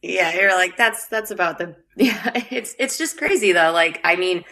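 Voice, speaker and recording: Young woman from the Upper Midwest with a Wisconsin accent, low-ish and gravelly, easy podcast-guest chatter. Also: lively intonation, moderate pace, bright, forceful tone. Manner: chatty, laughs often, acts out quotes. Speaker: singer and guest. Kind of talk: casual podcast chat.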